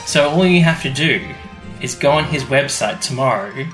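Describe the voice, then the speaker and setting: A man's voice: mid-range voice, lively intonation, moderate pace, a bit bright, faint music behind. A man co-hosting a podcast in casual chat, explaining things with a fan's enthusiasm. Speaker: podcast co-host. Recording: echoey room.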